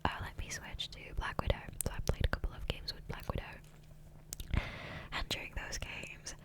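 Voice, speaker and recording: A woman whispering breathily in a casual, rambling ASMR style, close to the microphone. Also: moderate pace. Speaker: ASMR gaming video creator. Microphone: close USB condenser microphone.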